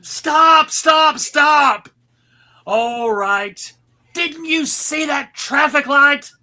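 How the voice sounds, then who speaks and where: A woman's voice: sits high, very slow, bright, forceful tone, voice pushed up, exaggerated voice. A woman voicing a blustering, exasperated traffic cop who barks and scolds, in a live cartoon script reading. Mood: angry, bossy. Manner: barking, scolding.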